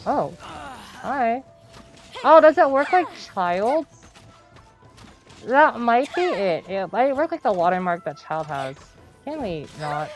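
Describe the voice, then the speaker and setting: A woman streamer with a fairly high, lively voice, chatting casually over a game. Her pace is slow, and game music plays behind her.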